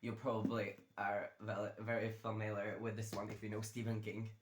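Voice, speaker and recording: A man's voice: lowish voice, monotone, moderate pace, slight background noise. Chatty young man. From Czech Republic. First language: Czech. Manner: chatty, rambling, with many fillers. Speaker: book vlogger. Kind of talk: casual chat. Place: bedroom. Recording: roomy bedroom echo.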